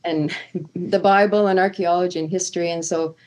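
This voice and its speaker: A woman's voice: fairly low pitch, steady tone, fairly quick, a little mellow, low-quality recording. A middle-aged woman originally from Sweden, a seminary professor, answering interview questions earnestly with many fillers and restarts. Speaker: seminary professor of Old Testament.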